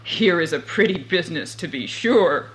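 A woman's voice: lowish voice, animated, moderate pace, a bit bright, low-quality recording. A woman voicing a tired, grumbling old father who is fed up with his youngest son. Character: weary, grumbling old father of three sons.

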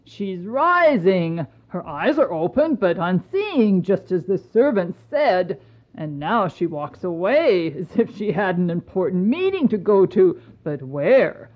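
Woman playing a slow, stately storybook emperor. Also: on the low side, very animated, exaggerated voice. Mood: wondering. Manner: measured, grave, formal. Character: stern yet tender male emperor, stately. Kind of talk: dramatised folktale acting. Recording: dull lo-fi recording.

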